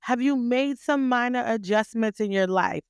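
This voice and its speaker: A woman's voice: a little high, moderate pace, clear, resonant tone, dry recording, studio quality. A woman hosting a podcast, giving a motivational talk full of rhetorical questions and repeated phrases, with a voice she calls graceful yet stern. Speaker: podcast host.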